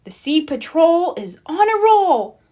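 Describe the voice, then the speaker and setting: Woman playing an upbeat boy leader, lively pitch. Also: takes it slowly, exaggerated voice. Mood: confident. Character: encouraging, confident young boy team leader.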